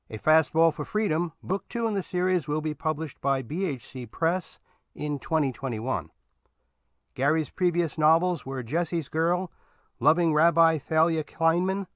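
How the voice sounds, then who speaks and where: Higher-pitched male podcast host, dry sound. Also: dry recording. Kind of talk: scripted podcast outro narration.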